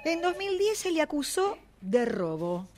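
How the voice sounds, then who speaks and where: A woman playing a patient, reasonable police officer in an amateur radio play, speaking in a measured, admonishing and concerned way. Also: high voice, very animated, a little mellow, soft background music. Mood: stern.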